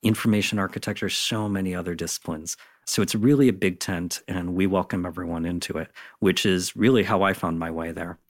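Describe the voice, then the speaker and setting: A man's voice: low-pitched, steady tone, brisk, hardly any pauses. A man speaking as an interview guest, explaining things in an enthusiastic, conversational and emphatic way. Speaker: interview guest, content designer.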